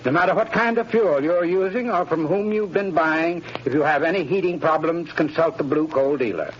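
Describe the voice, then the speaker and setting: A man's voice: a little high, normal speed, a little hiss or hum. Man reading an old radio ad, earnest salesy tone, muffled old broadcast. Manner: earnest, friendly salesman's delivery. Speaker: sponsor's spokesman. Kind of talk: scripted radio advertisement.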